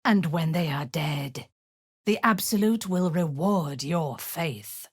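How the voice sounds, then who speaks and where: An actress voicing a ruthless drow woman commander who leads zealous raids, speaking in a cold, commanding voice with a husky edge that often sinks into a whisper. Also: sits low, slow, no echo, clean studio sound, exaggerated voice. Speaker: game character. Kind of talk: video game voice lines.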